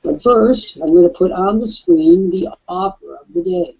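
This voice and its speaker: A raspy-voiced man hosting a live webcast and pitching an offer, emphatic like a salesman. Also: a little slow, darkish tone. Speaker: webcast host. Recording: dull lo-fi webcast audio.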